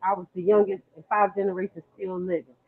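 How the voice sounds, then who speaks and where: Female caller, lo-fi phone line, halting personal storyteller. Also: medium pitch, level tone, vocal fry. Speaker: call-in panelist. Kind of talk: casual panel discussion. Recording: tinny phone line with faint hiss.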